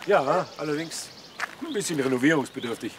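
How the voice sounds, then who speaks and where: Casting: man as pompous TV drama nobleman, brisk talker, noisy outdoor sound. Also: fairly high pitch, animated, lightly acted. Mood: pompous, aloof. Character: self-important count, smooth-talking investment adviser. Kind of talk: crime drama acting.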